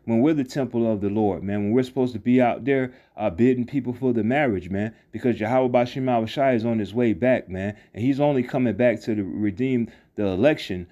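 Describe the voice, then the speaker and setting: A man teaching scripture in a casual, rambling way, full of fillers and restarts, saying "man" after many phrases and reading verses slowly. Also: mid-range voice, warm tone, highs cut off. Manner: reads verses slowly and deliberately. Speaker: street preacher. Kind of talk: informal scripture teaching over a screen recording. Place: not seen, voice-over on a phone screen recording.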